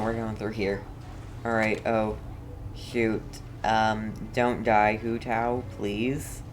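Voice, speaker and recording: A woman's voice: a bass voice, a little hiss or hum. A woman game streamer giving casual, rambling commentary as she talks herself through play.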